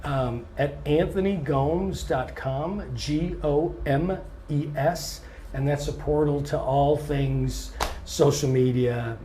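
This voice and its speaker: A man's voice: on the high side, takes it slowly, few pauses. Scratchy-voiced man talking loosely. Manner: relaxed and thoughtful, many ums, restarts now and then. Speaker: touring blues singer and guitarist. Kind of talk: casual interview answers.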